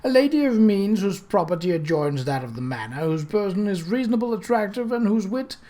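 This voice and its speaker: A man voicing a petulant, self-pitying young gentleman in a storybook reading, with wry, sighing, theatrical upper-class phrasing. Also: high-pitched, sing-song, a little fast, pitched above the performer's own voice.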